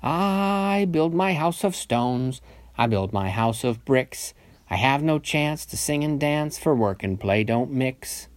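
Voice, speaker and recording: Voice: medium pitch, very animated, unhurried, few pauses, dry recording. A storyteller voicing a sober, hard-working little pig who speaks in sing-song admonishing lines in a children's tale. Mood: firm.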